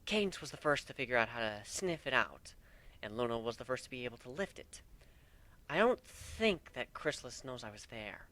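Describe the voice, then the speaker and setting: A man's voice: medium pitch, sing-song, normal speed, bright, forceful tone. A man voicing a sly, playful female changeling wife in a fan-fiction reading. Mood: smug, amused. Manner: sly, matter-of-fact.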